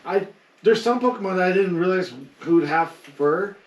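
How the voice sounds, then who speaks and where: A man's voice: high voice, moderate pace, slight background noise. A man chatting casually and enthusiastically as a podcast co-host. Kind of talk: casual panel chat. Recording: roomy echo, distant microphone.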